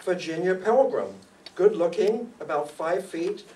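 A man's voice: high voice, expressive, measured pace, mellow. Older man acting a polite desk clerk, swooping intonation, 1940s radio drama, distant noisy recording. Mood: gossipy. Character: polite, gossipy male hotel desk clerk. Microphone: stand microphone, filmed from audience.

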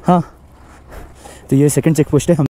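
A young man from India narrating a casual, excited motorbike travel vlog outdoors, recorded on an action camera with engine and wind noise. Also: fairly high pitch, moderate pace. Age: young adult. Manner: chatty, excited, mixes in English words. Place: outdoors on a mountain road, riding a motorbike. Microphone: action camera on the bike.

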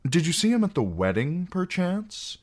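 A man's voice: high voice, big pitch swings, normal speed, a little mellow, pitched above the performer's own voice. A man voicing a wry outlaw singer in an audiobook, teasing and falsely kind, with a lilting delivery. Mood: coolly probing. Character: wry, mocking outlaw singer, smoothly cruel adult man. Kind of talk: audiobook character acting.

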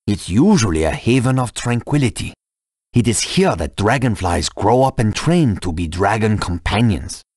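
Low-voiced, dignified dragon master. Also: male voice, very animated, normal speed, darkish tone, no echo, studio quality. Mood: courteous, serene. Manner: formal, courteous. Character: dignified elder male dragon master, kindly and serene. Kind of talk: video game character dialogue.

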